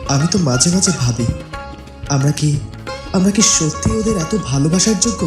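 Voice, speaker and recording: Soft, earnest young prince played by a man, echoey. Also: a little high, lively intonation, moderate pace, lightly acted. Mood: tender. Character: loving, sentimental young prince, husband and father. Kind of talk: cartoon fairy tale voice acting. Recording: echoey, loud music underneath.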